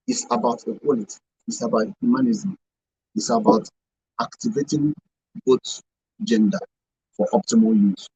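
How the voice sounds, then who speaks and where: A Nigerian man explains his points emphatically in a radio discussion. His voice is creaky and husky, with a very lively pitch that rises and falls. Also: dry recording, dull audio. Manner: emphatic, repeats himself. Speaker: radio show panelist. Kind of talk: explanatory talk show discussion.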